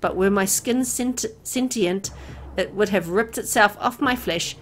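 A woman's voice: medium pitch, unhurried, slight background noise. A woman reading a horror visual novel aloud as dramatic story narration.

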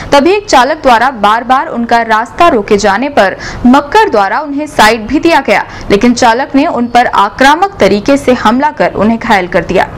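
A young woman news anchor reading scripted headlines in a formal, even newsreader delivery, recorded in a TV news studio. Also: high voice, a little hiss or hum.

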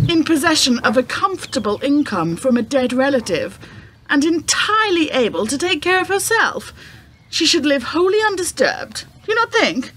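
An actress with an English accent playing an abandoned, theatrical wife in a radio play, arch and wordy, wry and wounded. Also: female voice, high-pitched, fairly bright tone, full character voice. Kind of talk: period-flavoured radio play acting.

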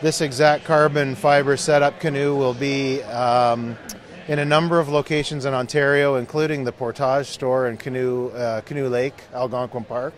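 A man's voice: mid-range voice, moderate pace. A man in his thirties, a company owner, explaining his product earnestly and steadily in an interview at a busy expo booth, into a handheld mic. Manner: earnest, steady, slightly worn-out. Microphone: handheld mic held by interviewer.

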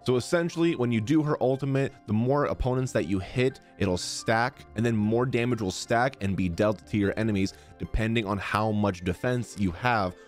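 A man's voice: fairly low pitch, normal speed, hardly any pauses. Male streamer, casual, faint music. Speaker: streamer, content creator. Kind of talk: casual reaction and commentary. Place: small home room, indoors. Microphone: close boom-arm studio microphone.

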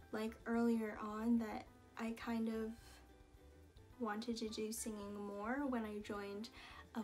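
Slow-talking young woman, chatty vlog. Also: on the high side. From Canada. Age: young adult. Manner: chatty, relaxed, many fillers and little asides. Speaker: singer and vlogger. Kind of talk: casual Q&A vlog. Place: small indoor room against a plain wall. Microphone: camera in front at head-and-shoulders framing.

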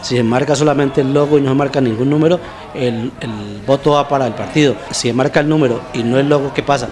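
A man's voice: mid-range voice, fast talker, darkish tone, slight background noise. Middle-aged Colombian male interviewer. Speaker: interviewer, host. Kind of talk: local TV news interview. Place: room with brick wall, seated on sofa. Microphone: handheld interview microphone.

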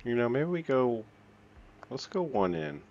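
A man's voice: mid-range voice, sing-song, measured pace, darkish tone, dull audio, a little hiss or hum. A man hosting a gaming channel, talking to himself casually as he builds, counting under his breath and thinking aloud. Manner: counts and mutters while building, self-talk. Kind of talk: casual let's-play commentary.

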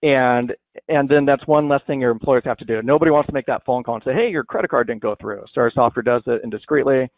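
A man walks through laundry software in a relaxed, conversational webinar style, often restarting his sentences with an "um". His voice sits at a middle pitch and comes through a tinny, narrow line with a dry, close sound. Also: fast talker, creaky, dry recording. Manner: conversational, many fillers and restarts. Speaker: software presenter. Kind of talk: webinar product walkthrough. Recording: dry tinny phone-like audio.

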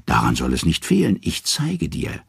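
A man's voice: extremely low pitch, huge pitch swings, normal speed, vocal fry, a bit bright, slightly rough, dry recording, studio quality, exaggerated voice. A man voicing a scheming, two-faced trickster god in an audio saga, wheedling and manipulative. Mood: sly, coaxing.

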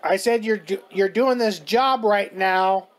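A man's voice: sits high, a little slow. A man on a prank phone call with a scammer, playing dumb and chatting off topic. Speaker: prank caller baiting a scammer.

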